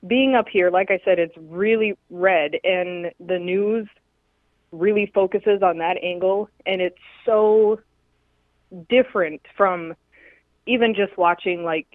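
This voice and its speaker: A woman's voice: fairly low pitch, slow, dry recording. A woman from North Dakota calls in to a talk show, starting her thoughts with hesitant ums. Her voice comes through a thin, dry phone line. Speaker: caller. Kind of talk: call-in chat.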